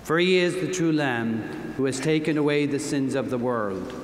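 Older male celebrant, measured solemn delivery, mid pitch, roomy reverb with faint hiss. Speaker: presiding bishop. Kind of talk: liturgical prayer at Mass. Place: large convention hall stage. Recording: echoey hall, faint noise.

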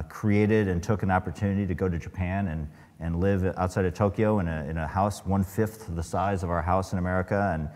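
A man's voice: low-pitched, a little mellow. A man speaking on a panel as an astronaut, reflective, often stopping and restarting his sentences. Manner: reflective, many fillers and restarts. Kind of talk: panel talk. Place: large event hall, on stage. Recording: long reverb of a large hall.

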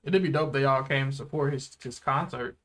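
A man's voice: mid-range voice, brisk, fairly bright tone. A young American man chatting casually and in slang as co-host of a reaction video. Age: young adult. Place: small indoor room.

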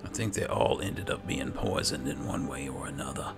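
A man with an extremely low, creaky voice murmurs through casual gameplay commentary. His pitch moves around a great deal, and game music plays behind him. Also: moderate pace. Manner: murmuring, often near-whispered. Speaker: gaming video host, role-player. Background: video game music.

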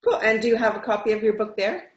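A woman in her fifties hosting a casual interview over a video call, upbeat and quick to laugh. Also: on the high side, animated. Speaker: host. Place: home room. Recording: roomy, dull video call audio.

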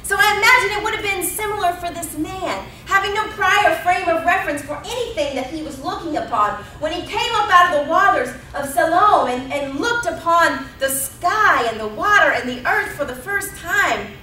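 A woman's voice: very high-pitched, lively intonation, normal speed, few pauses, bright, forceful tone, far from the mic, a little hiss or hum. A woman in her thirties preaching a sermon as pastor, telling the story with emphatic repetition. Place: church sanctuary. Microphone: pulpit mic at a distance. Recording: roomy church sound from off-mic.

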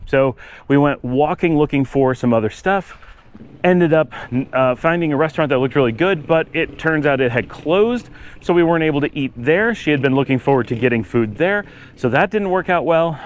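A man's voice: a little high, expressive, normal speed, a little hiss or hum. A man narrating a casual walking-tour vlog outdoors, rambling and thinking aloud as he goes. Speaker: travel vlogger. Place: outdoors, walking on residential city streets.

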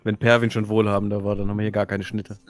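A man's voice: on the low side, level tone, very fast. A man chatting casually as a player in an online tabletop role-playing game. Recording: online voice call, background music.

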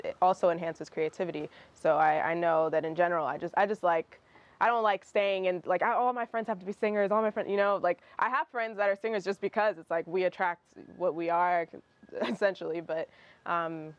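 A woman's voice: fairly low pitch, muffled recording. A young woman, a singer whose first language is Russian, answering interview questions casually outdoors, restarting her sentences and laughing between phrases. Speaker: guest singer. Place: outdoor terrace with garden.

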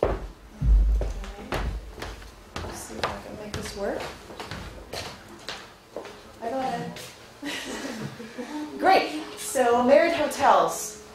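A woman's voice: on the high side, drawn-out words, creaky, long pauses. A woman in her thirties, born in Venezuela and raised in Spain, giving an upbeat, chatty recruiting presentation to a class of students and often asking them questions, recorded from a far camera. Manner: upbeat, chatty, asks the audience frequent questions. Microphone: far camera, no visible mic. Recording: very echoey classroom, faint noise.

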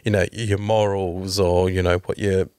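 Low-voiced male podcast host. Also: flat delivery, normal speed, darkish tone, no room sound, studio quality. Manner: asks questions, acts out quotes. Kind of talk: casual podcast chat.

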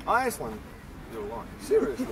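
A man's voice: very high-pitched, huge pitch swings, moderate pace, vocal fry, a loud crowd. A young man with a Croatian accent doing a teasing, mock-serious street interview in a town park. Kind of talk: joking vox-pop interview. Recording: outdoor street noise, mic at a distance.

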